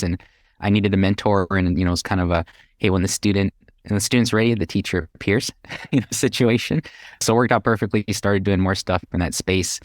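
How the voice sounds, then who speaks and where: A man's voice: low voice, dry recording, studio quality. A middle-aged man with an American accent chatting casually as an interview guest, telling his own story in a conversational way. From Hawaii, United States. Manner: conversational, chuckles while talking. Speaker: podcast guest, real estate investor.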